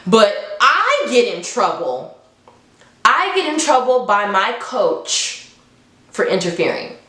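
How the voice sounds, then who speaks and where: A woman's voice: mid-range voice, big pitch swings, slow pace, sharp tone, reverberant. A young woman telling a personal story in a casual, slangy, emphatic way, often exasperated and acting out dialogue. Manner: emphatic, exasperated, repeats phrases for effect; informal slangy speech, acts out dialogue. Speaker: storytime vlogger.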